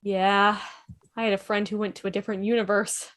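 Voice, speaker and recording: A woman's voice: mid-range voice, little pitch movement, a little fast, a bit bright, voice pushed down, lightly acted. A woman playing a breezy, curious space traveller with an upbeat, chatty manner in a sci-fi table read.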